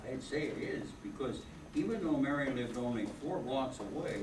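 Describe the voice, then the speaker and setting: A man's voice: mid-range voice, animated, normal speed, lightly acted. An older man playing a patient, knowing angel who explains things as storyteller in an amateur radio play. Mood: patient, informative. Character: patient, knowing male angel narrating a man's life. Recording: echoey, distant, very noisy room.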